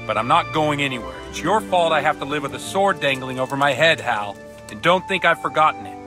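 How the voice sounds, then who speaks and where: A man's voice: fairly high pitch, lively intonation, brisk, music in the background, lightly acted. A man playing a grudging, touchy village priest in a medieval video game. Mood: defensive, indignant. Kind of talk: video game dialogue acting.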